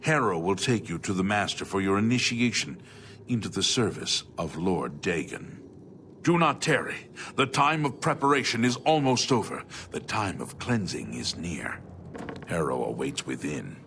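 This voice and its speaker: Fantasy game cultist doorkeeper: devout, ominous, gravelly delivery, some background noise. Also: male voice, low voice, sing-song, moderate pace, a bit bright, exaggerated voice. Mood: solemn, urgent. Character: solemn male cult doorkeeper, devout servant of a dark lord. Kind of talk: video game character acting.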